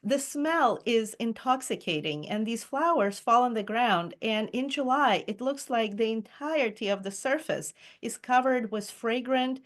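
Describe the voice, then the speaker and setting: A woman's voice: on the high side, expressive, measured pace, few pauses. Enthusiastic woman leading a Zoom talk. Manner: chatty, enthusiastic, frequent ums and laughs. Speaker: reading group leader. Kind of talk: casual Zoom presentation. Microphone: video call.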